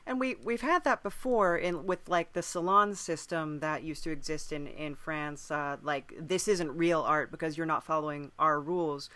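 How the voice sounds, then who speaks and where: Woman on a podcast thinking out loud, crisp bright sound, chatty and thoughtful. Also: deep voice, fairly even intonation, normal speed, few pauses. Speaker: podcast speaker. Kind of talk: conversational podcast discussion.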